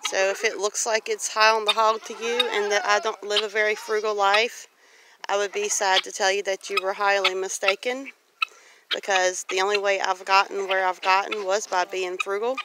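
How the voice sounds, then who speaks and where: A woman from Louisiana talking casually and reflectively in a plain-spoken, rambling way, like a homestead vlogger on a walk. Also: mid-range voice. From Louisiana, United States. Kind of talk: casual walk-and-talk monologue. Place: outdoors on a grassy farmyard. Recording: outdoor noise, farm animal sounds.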